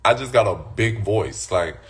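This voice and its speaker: A man's voice: lowish voice, moderate pace. A man hosting a casual livestream, bluntly and sassily answering viewer comments from his phone. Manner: blunt, sassy, talks back to commenters. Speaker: livestream host.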